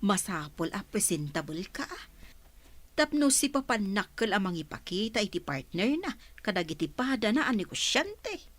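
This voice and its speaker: A woman playing an affectionate, gentle middle-aged mother in an Ilocano radio drama, with a tender, motherly way of talking. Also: medium pitch, very animated, normal speed.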